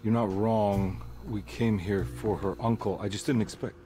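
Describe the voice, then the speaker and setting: A man playing a deep-voiced gruff detective. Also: fairly even intonation, moderate pace, warm tone, natural voice. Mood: guarded. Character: gruff male 1920s private detective. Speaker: game character. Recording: game audio with music score.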